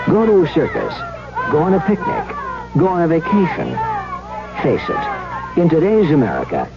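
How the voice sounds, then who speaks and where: A man reading a scripted 1970s radio ad in a persuasive, rhetorical way. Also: medium pitch. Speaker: commercial announcer. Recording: dull radio recording with clear background noise.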